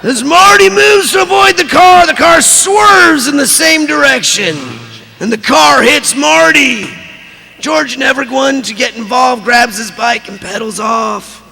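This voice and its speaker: A man voicing an excitable cartoon character who reads stage directions melodramatically in a creaky, sharp voice. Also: very high voice, measured pace, heavily acted. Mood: dramatic, excited. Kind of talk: dramatic script narration in cartoon voice. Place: large convention hall stage. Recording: echoey convention hall PA, faint noise.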